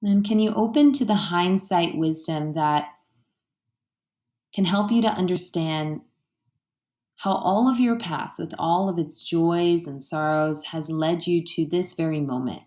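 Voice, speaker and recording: A woman with a low voice guides a meditation in an echoey, roomy space. Her delivery is gentle and unhurried, with soothing phrasing and pauses between thoughts.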